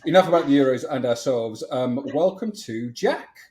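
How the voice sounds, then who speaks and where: A man's voice: medium pitch, lively intonation, normal speed, crowd noise. A man hosting a casual podcast interview, bantering with a jokey, self-deprecating manner. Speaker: podcast host.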